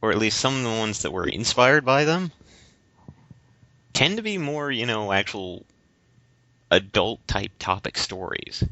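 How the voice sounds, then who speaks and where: A man co-hosting a casual debate podcast, speaking in a halting, stammering way. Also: on the low side, very animated, sharp tone, no room sound. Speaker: podcast co-host. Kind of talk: casual debate-style chat. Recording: slightly dull, lo-fi audio.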